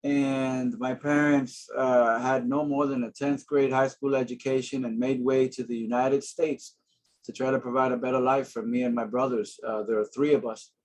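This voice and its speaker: A man's voice: medium pitch, little pitch movement, normal speed, rough recording. A man in his forties from Boston gives an earnest, friendly webinar presentation over a video call, with plenty of filler words. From Boston, Massachusetts. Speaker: housing panelist.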